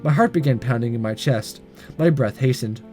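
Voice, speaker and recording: A man's voice: medium pitch, moderate pace, darkish tone, soft background music. A man hosting a gaming video, reading a visual novel aloud with casual comments and stumbling over the odd line. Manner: stumbles and rereads lines.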